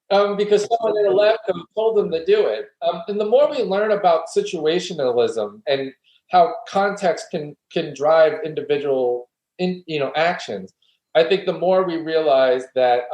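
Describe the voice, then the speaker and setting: A man's voice: fairly high pitch, lively intonation, normal speed, a little mellow. A man, a philosophy guest, earnestly explaining his argument step by step in an interview. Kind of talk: conversational interview, reflective argument. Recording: roomy echo.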